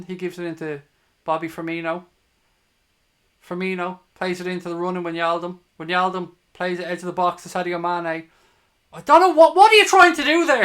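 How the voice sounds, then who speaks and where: Male livestream football host, Dublin accent, fairly high voice, blunt fan talk with swearing. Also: pushed and bright. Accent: Dublin Irish. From Ireland, from Dublin. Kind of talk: live football watch-along commentary, chatting with viewers.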